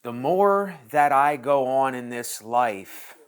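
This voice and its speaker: A man's voice: mid-range voice, lively intonation, takes it slowly, clean tone. A man in his late thirties hosting his own channel, talking casually and at length in a rambling monologue, repeating phrases as he thinks aloud. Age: 30s. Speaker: channel host.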